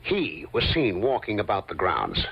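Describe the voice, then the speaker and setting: Husky, flustered, indignant man. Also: fairly low pitch, wildly swooping pitch, normal speed, heavily acted. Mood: indignant protest. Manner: flustered, protesting, stammering. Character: indignant, anxious man accused of covering up. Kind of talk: old-time radio mystery acting. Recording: muffled old radio drama recording.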